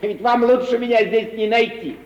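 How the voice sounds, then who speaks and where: A muffled, echoey old radio recording carries a callow young gentleman. His voice is very high for a man, and he speaks with naive eagerness in theatrical 1950s style. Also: normal speed, far from the mic. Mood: insistent, preachy.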